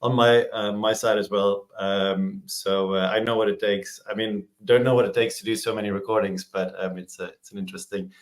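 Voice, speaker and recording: Guy in his 30s, kinda low voice, rambly easygoing podcast guest. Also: fairly even intonation, brisk, few pauses. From Belgium. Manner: easygoing, rambling, searching for words. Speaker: podcast guest, startup executive. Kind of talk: casual interview chat.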